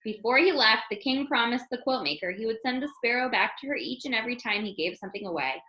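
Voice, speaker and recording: A woman reading a children's story aloud as an expressive narrator. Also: a little high, fast, lo-fi sound. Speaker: storybook reader. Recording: roomy, echoing room sound.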